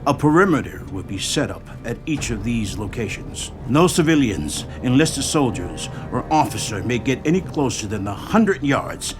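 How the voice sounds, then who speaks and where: Man playing stern army commander, animated delivery. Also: medium pitch, normal speed, hardly any pauses, close to an everyday voice. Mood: commanding. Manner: clipped, authoritative. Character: stern, commanding military officer in charge. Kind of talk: audio drama acting. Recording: clear music behind.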